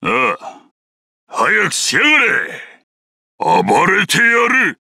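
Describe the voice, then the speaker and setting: A thuggish, ambitious guard captain from a video game. The voice is very hard-edged and forceful, with lively swoops in pitch. He talks coarsely, full of boasts and menace. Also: takes it slowly, creaky, slightly rough, dry recording, studio quality, exaggerated voice. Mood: menacing, boastful. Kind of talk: video game character voice clips.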